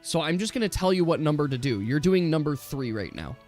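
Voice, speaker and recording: A man with a fairly high, animated voice referees a tabletop role-playing game over background music. He talks casually and explains things step by step, as a game master would. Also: brisk. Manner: explanatory, checks rules step by step.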